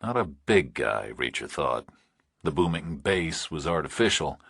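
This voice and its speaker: A male audiobook narrator with an extremely low, gravelly voice reads a thriller. His delivery is slow and forceful, swinging in pitch, in a dry close recording. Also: sing-song, no room sound.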